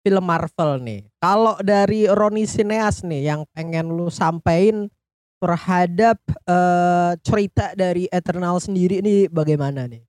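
A man's voice: high-pitched, unhurried, a little mellow, no echo. A man with a colloquial Jakarta Indonesian accent hosts a casual film discussion in joking, informal slang. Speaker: podcast host.

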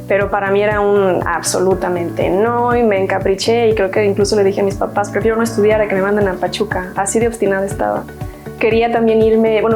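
A young woman from Hidalgo with a Mexican accent, telling her own story in a chatty, earnest way with many restarts. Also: mid-range voice. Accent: Mexican Spanish. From Mexico, Hidalgo state. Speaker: university graduate interviewee. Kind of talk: personal testimony.

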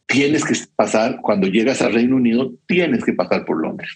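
A man from Costa Rica with a Costa Rican accent and a slightly rough, husky voice at a medium pitch. He talks conversationally, like a guest sharing travel advice, and peppers his storytelling with short tag questions. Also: lively intonation, moderate pace.

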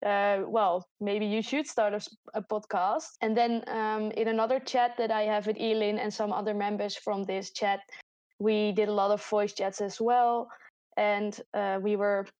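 A woman's voice: medium pitch, little pitch movement, moderate pace, darkish tone, no room sound. A woman in her twenties from the Netherlands with a Dutch accent, co-hosting a casual podcast chat and laughing often. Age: 20s. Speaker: podcast co-host. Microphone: voice chat recording.

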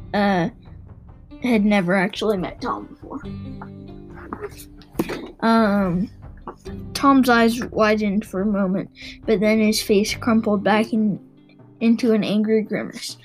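A woman's voice: mid-range voice, a little mellow, poor audio. A woman reading a children's novel aloud, stumbling now and then and rereading phrases. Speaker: reader and host of a read-aloud show.

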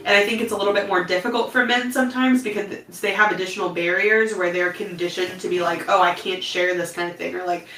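Animated woman in her thirties guesting on a podcast, bright voice, echoey room with a far-off mic. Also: on the low side, quick pace, hardly any pauses. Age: 30s. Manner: animated, laughs often, says "like" a lot. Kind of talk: casual chat. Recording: echoey room from a distant mic with faint noise.

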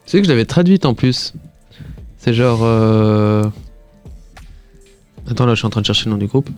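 A man's voice: mid-range voice, animated, normal speed, a little mellow, faint music behind. A man co-hosting a casual radio chat, talking hesitantly with many fillers. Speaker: radio show co-host.

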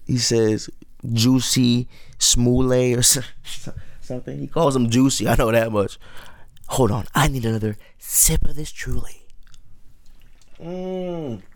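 A man's voice: mid-range voice, takes it slowly, dry recording. A man in his late twenties hosting a solo podcast, rambling through loose, profane casual commentary. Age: 20s. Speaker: podcast host.

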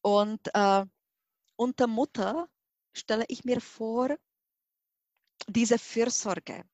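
A woman's voice: fairly high pitch, steady tone, extremely slow, darkish tone, dry recording. A middle-aged Czech woman with a Czech accent gives a personal account as an interview guest, searching for her words with emphasis. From Czech Republic. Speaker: interview guest, hypnosis and regression therapist.

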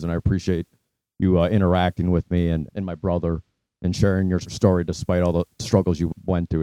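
A man's voice: low-pitched, mellow, smooth, no room sound. A man co-hosting a casual interview, speaking in a supportive, wordy way. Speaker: interviewer, co-host.

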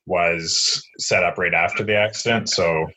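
Deep, bright-toned young man. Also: fairly even intonation, a little slow. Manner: easygoing, loose, with many fillers. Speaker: podcast guest. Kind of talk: casual interview answers.

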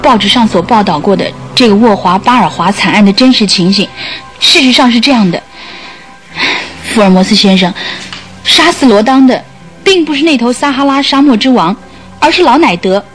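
A woman's voice: fairly high pitch, lively intonation, moderate pace, faint music behind, lightly acted. An actress playing a sorrowful, guilt-ridden woman making an earnest confession in a radio drama. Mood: remorseful. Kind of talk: Mandarin radio drama acting.

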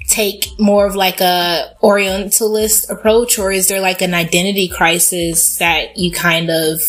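A woman's voice: lowish voice, flat delivery, unhurried, fairly bright tone. A woman hosting a podcast, asking plain questions and giving short responses. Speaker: podcast host. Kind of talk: casual interview.

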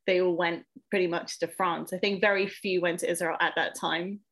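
A woman's voice: on the low side, flat delivery, normal speed, fairly bright tone. A young woman from England, a podcast guest, talking casually with many ums and self-corrections as she thinks aloud. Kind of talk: casual interview conversation.

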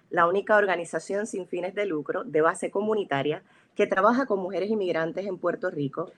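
A woman host with a lowish voice reads out her guests' biographies. She keeps a measured, formal reading pace.

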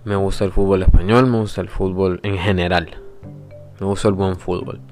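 A young man from El Salvador with a very deep voice talks reflectively, pausing and restarting as he introduces his podcast. Faint guitar music plays quietly behind him. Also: little pitch movement. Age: 20s. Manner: reflective, hesitant, with pauses and restarts. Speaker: podcast host. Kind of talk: personal introduction monologue.

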